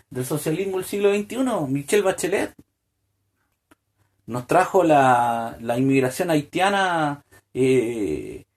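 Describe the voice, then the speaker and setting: A man delivering a solo political podcast monologue, full of hesitant fillers and restarts, building to pointed rhetorical questions. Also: fairly high pitch. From Chile. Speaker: podcast host.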